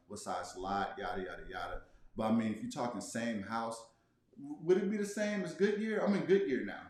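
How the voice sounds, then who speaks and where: Male livestream guest, mid-pitched, laid-back and conversational, recorded in a strongly echoing room. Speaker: guest, travel vlogger. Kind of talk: casual livestream chat. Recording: very echoey room.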